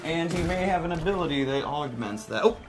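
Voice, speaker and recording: A man in his thirties giving a casual, jokey gameplay demonstration on a livestream, with self-deprecating, animated exclamations. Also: on the high side, a little fast, low-quality recording. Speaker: developer demonstrating game. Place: studio livestream set, indoors. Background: game music.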